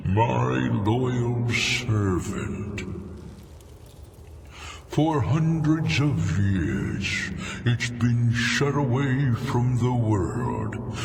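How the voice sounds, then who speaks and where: A very slow, sinister dark god. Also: male voice, lowish voice, vocal fry, heavily acted. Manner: slow, drawling, menacing, grandiose. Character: sinister male daedric lord of forbidden knowledge, slow, gloating. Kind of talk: fantasy video game acting. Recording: long cavernous reverb, faint music.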